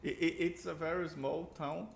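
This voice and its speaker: A Brazilian man with a Brazilian Portuguese accent, a native Portuguese speaker who talks in casual reaction commentary, halting and repeating words as he searches for English. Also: on the high side, steady tone, creaky, a little hiss or hum. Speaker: reaction video host.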